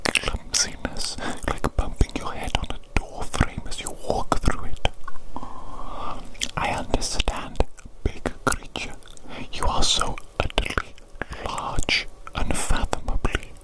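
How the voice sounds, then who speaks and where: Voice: takes it slowly, close to an everyday voice, low-quality recording. A performer voicing a doting evil mad doctor who scorns humans, in a repetitive, fussing whisper for an ASMR medical roleplay. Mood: doting, concerned.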